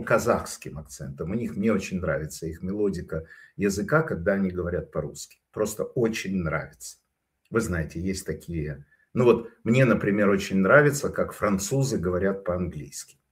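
A man giving casual spoken commentary, telling stories in a digressive way with frequent hesitations. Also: lowish voice.

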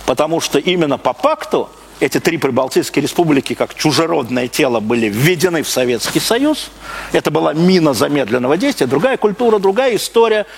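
A man from Russia giving a live public lecture, speaking emphatically with repeated phrases, rhetorical questions and broken-off sentences. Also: on the high side. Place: live talk before an audience. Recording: clearly noisy live recording.

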